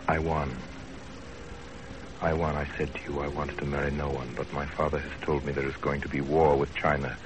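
An actor playing an earnest young husband in a 1930s radio drama, speaking gravely and deliberately. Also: male voice, a bass voice. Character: earnest young husband narrating his love story. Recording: noisy 1930s radio broadcast.

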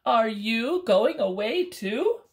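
A small raccoon from a children's storybook, voiced high and bright with big swoops of pitch, as a woman puts it on. It talks slowly and eagerly, and every line is stretched out for young listeners. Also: big pitch swings, full character voice. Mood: earnest. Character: sad, earnest young raccoon.

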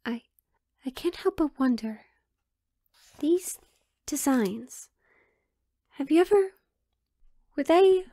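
High-voiced, pleading young princess, acted by a woman. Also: animated, slow pace, long pauses, warm tone, dry recording, clean studio sound, heavily acted. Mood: wistful, hesitant. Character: pleading, sheltered young royal woman in forbidden love. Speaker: audio drama performer. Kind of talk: dramatic romantic audio roleplay acting.

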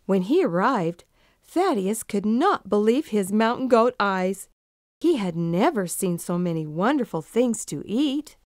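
A woman narrating a children's storybook in an expressive, deliberate storytelling manner. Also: medium pitch, no echo, studio quality.

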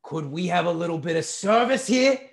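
A man playing a boorish, pompous father, loud, sarcastic and imperious as he bullies waiters in a dramatic story reading. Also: on the low side, very animated, normal speed, vocal fry. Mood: shouting imperiously.

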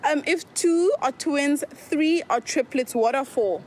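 Bright high-voiced woman quizzing passersby. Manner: upbeat, quick questions, frequent thanks. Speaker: street interviewer. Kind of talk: street quiz interview. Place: outdoors on a street. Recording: street recording with faint noise.

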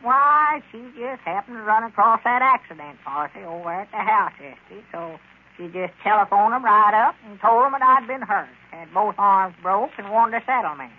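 A man's voice: high voice, lively intonation, normal speed, husky. An actor playing a fretful, henpecked old rural man with a Southern American drawl, in a folksy, plaintive 1930s radio comedy style. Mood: worried. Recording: scratchy, tinny 1930s radio broadcast.

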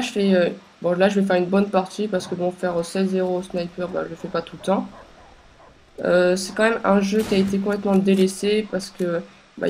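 A young woman with a stuffy, congested voice from a cold, talking over her game in a halting way with many pauses and fillers. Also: medium pitch, a little mellow, a little hiss or hum, low-quality recording. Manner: hesitant, many filler sounds and restarts. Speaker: gameplay commentator. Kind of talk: casual gameplay commentary.

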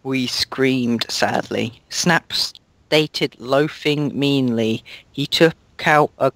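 A man's voice: medium pitch, drawn-out words, a bit bright, no echo. A man reading a fan story aloud in a mock-dramatic way, stumbling over words and laughing at the text.

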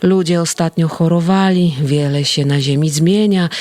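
A woman voicing a gentle, wise male guardian in a children's story, speaking in a soft, reassuring way. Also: low voice, moderate pace, lightly acted. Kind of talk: storybook reading.

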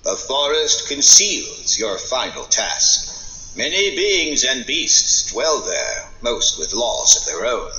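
A man's voice: medium pitch, big pitch swings, slow, sharp tone, heavily acted. An actor playing a grave, commanding male master mage in a fantasy game. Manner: commanding, portentous. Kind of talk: fantasy video game acting. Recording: echoey, dull game audio with music.

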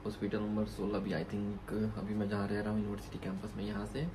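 A man's voice: fairly low pitch, monotone, fast. A man, chatting casually and informally as a student vlogger into a phone, mixing in English words. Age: 20s. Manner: informal, repetitive filler phrases, Hindi mixed with English words. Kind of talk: casual vlog chat. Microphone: phone at arm's length. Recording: noisy street and indoor phone recording.

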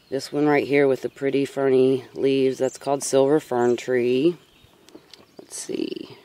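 A woman's voice: a bass voice, a little mellow, a little hiss or hum. Woman in her 30s doing a laid-back garden vlog, just rambling along, filmed handheld outside. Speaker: gardener giving a seedling tour. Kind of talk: casual rambling vlog narration. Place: outdoors in a rural garden. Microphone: handheld camera or phone.

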